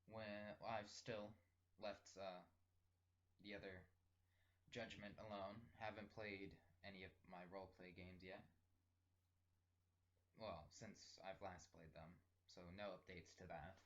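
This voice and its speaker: A teenage male recording a casual, rambling spoken diary, thinking aloud in halting short phrases. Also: sits low, little pitch movement, a bit bright, muffled recording. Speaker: personal audio log host.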